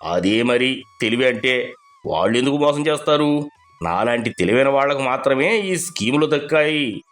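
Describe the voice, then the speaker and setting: Casting: man doing smug moneylender pal for a cartoon, boastful and mocking, swinging pitch, faint music behind. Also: expressive, moderate pace, fairly bright tone, close to an everyday voice. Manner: boastful, sneering. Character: cocky, mocking moneylender friend, a boastful schemer. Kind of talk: Telugu animated moral story acting.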